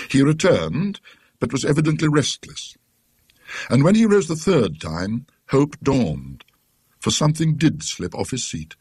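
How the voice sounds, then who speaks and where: A British man narrating a classic ghost story in a dry, scholarly, measured literary manner. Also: lowish voice, slightly rough, no room sound. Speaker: audiobook narrator.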